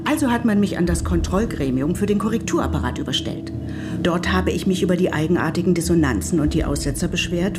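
A woman's voice: on the low side, lightly acted. A woman playing a demanding, fretful mother in a radio play, speaking precisely and insistently. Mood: troubled. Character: demanding, fretful mother in a machine-run future society. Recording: loud music behind the voice.

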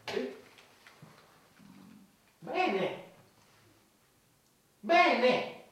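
A high, bright-voiced minister holds forth in a satirical radio drama. He is fervent and cynical, orating with rhetorical flourishes in a very echoey space, away from the microphone. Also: big pitch swings, drawn-out words, recorded from a distance, full character voice. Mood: coolly argumentative. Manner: declamatory, oratorical, rhetorical questions. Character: fervent, cynical male government minister making grand proposals. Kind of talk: satirical radio theatre acting.

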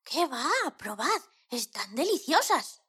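An adventurous storybook hen, voiced by a woman, high and husky. She sounds playful and persuasive, with lively melody in every line. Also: takes it slowly, full character voice. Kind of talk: children's audio story acting.

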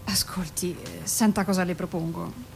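An actress playing a composed, guarded psychiatrist in a radio drama, speaking in a measured, formal and detached way. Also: female voice, fairly even intonation, creaky. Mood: courteous, professional. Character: composed, guarded woman psychiatrist, patient and professional. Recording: noisy radio recording with low rumble.

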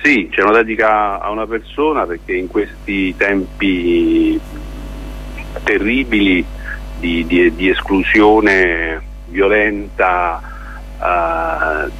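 Low-voiced man, halting and deliberate. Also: a bit bright. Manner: halting, deliberate, with drawn-out pauses and repeated words. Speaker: theatre director interviewed as guest. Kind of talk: radio interview answers, explanatory. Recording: muffled, lo-fi radio interview with noise.

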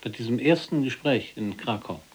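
An actor playing a composed Polish resistance prisoner in a German radio drama, measured, dry and probing. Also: male voice, medium pitch, lively intonation, husky. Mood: calm probing.

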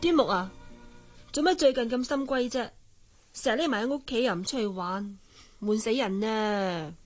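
A woman's voice: a little high, normal speed, soft background music, lightly acted. An actress playing a haughty, spiteful young rich woman in a radio drama, with a Hong Kong accent and a sneering, coquettish delivery. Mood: coaxing, pouty. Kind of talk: Cantonese radio drama acting.

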